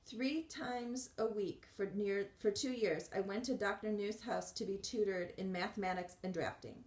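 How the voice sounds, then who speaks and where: A woman reading a memoir aloud in a measured, earnest way, with occasional restarts. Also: mid-range voice, fairly even intonation, darkish tone, reverberant. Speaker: audiobook reader.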